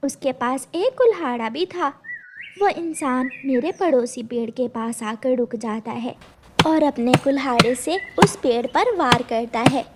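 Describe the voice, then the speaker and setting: A woman voicing an earnest, gentle mother bird in a children's cartoon. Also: sits high, lively intonation, measured pace, noisy background. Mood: earnest, reflective. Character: gentle, brave mother bird telling her daughter memories. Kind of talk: Hindi children's cartoon storytelling.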